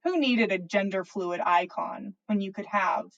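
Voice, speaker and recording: A woman reading fan fiction aloud as a podcast host, in an expressive storytelling style. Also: medium pitch, unhurried, creaky, lo-fi sound.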